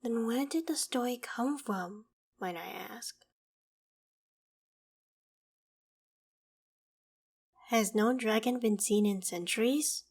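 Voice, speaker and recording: A woman's voice: fairly high pitch, a little slow, darkish tone. A woman voicing a proud, vain ancient dragon in human form for an ASMR roleplay drama, with formal, haughty, theatrical phrasing. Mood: indignant, demanding.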